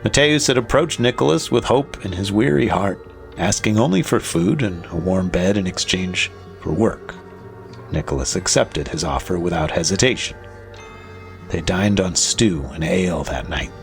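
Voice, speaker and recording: A man narrating a scripted horror story in a measured, deliberate and dramatic way over music. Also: sits low, lively intonation, vocal fry. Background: faint music.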